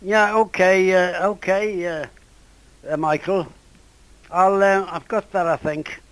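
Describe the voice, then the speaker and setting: A man's voice: high voice, steady tone, fairly bright tone, clear, resonant tone, no room sound. An elderly man, his voice going from a cold, speaking hesitantly in a casual amateur radio contact. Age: 80s or older. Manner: hesitant, halting. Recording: ham radio contact over internet app.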